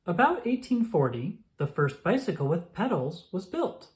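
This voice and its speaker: A narrator reading a children's picture book aloud in a clearly enunciated, instructional storytelling manner. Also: mid-range voice, very animated, normal speed, darkish tone.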